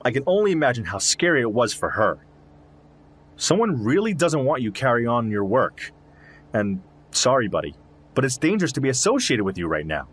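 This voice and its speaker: A man's voice: medium pitch, big pitch swings, fairly quick, fairly bright tone, slightly rough. An actor playing a concerned, loyal male zoologist friend in an audio drama, speaking earnestly and reassuringly.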